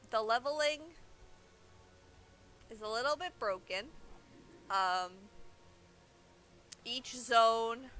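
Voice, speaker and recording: A woman's voice: a little high, sharp tone. A woman streaming a game, explaining its story in loose, informal casual chat.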